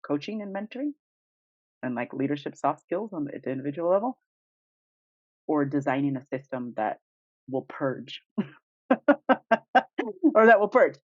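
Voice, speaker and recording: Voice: medium pitch, expressive, normal speed, long pauses, darkish tone, no room sound. A podcast co-host talking casually and emphatically, with strong opinions and informal phrasing. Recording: dull, lo-fi dry recording.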